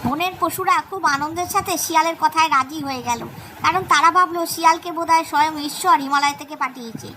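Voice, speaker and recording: A woman's voice: extremely high pitch, quick pace, pushed and bright. A woman narrating a scripted children's cartoon tale as storyteller. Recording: clearly noisy background.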